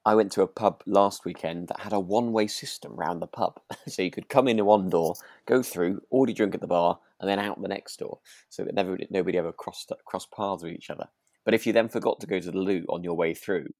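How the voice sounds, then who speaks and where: A man's voice: low-pitched, fast, no room sound, clean studio sound. A British man co-hosting a podcast in casual chat, agreeable and rambling, often hesitating as he goes. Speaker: podcast co-host.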